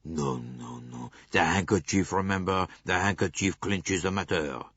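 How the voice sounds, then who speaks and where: A man playing a cordial, confident but easily flustered gentleman in an audiobook, speaking in a hearty, emphatic, blustering way. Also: low-pitched, a little slow, slightly rough, no echo, highs cut off, heavily acted. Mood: insistent, flustered.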